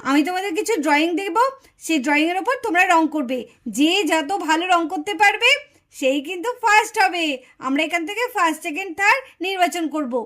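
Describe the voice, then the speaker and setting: Woman voicing a very high-pitched, chatty auntie doll. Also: quick pace, a bit bright. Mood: bright, encouraging. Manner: exclaiming, instructing, chatty. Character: cheerful auntie doll hosting a children's drawing contest, bossy but kind. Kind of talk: puppet doll story for children.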